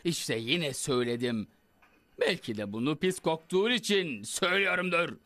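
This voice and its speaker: Vain cartoon bat hero: snide, petulant, animated higher-pitched voice, recorded dry. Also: male voice, normal speed, no room sound. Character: vain, snide, irritable bat-costumed superhero man. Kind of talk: dubbed cartoon acting.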